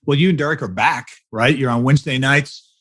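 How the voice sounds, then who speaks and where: An American man hosting a conversational interview, phrasing his questions in a loose, halting way. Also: mid-range voice, fairly bright tone, husky. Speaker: podcast host, interviewer.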